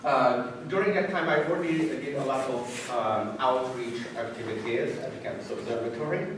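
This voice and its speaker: A man in his thirties from Hungary with a Hungarian accent, giving an informal talk about himself to an audience in a halting, self-deprecating way. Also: medium pitch. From Budapest, Hungary. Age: 30s. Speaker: college science teacher giving a talk. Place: echoey indoor room before an audience. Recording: echoey room, distant mic, noisy background.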